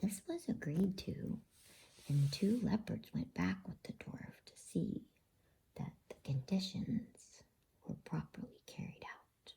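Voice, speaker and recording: A woman reading a bedtime story in ASMR style, with a hushed, breathy, husky whisper and an unhurried manner. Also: fairly low pitch, very animated, low-quality recording.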